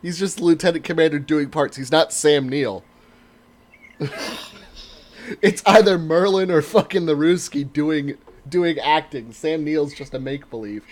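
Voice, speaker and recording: A man in his thirties chatting casually as a podcast co-host, telling stories in an animated way with emphatic swearing. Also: on the high side, measured pace. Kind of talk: casual banter, profane chat.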